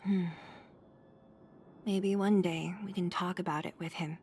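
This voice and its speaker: A woman voicing a gentle, thoughtful female companion in a video game, soft and consoling. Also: lowish voice, steady tone, a little slow, a little hiss or hum, lightly acted. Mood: gentle, subdued. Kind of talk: video game voice acting, soft dramatic dialogue.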